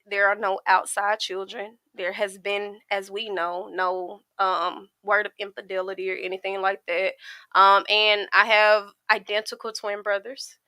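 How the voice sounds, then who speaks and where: A woman's voice: medium pitch, level tone, a little slow, bright, forceful tone, dry recording. A woman in her twenties from Arkansas with a Southern American accent, talking with lots of energy and emphasis as a podcast guest. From Arkansas, USA. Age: 20s. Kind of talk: casual interview chat. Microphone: mic at some distance from the mouth.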